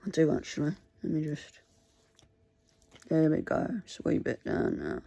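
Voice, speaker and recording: Woman, Mandarin first language, halting and pleading, dry close sound. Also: sits low, very animated, vocal fry, dark tone, dry recording. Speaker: vlogger. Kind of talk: casual talk to camera.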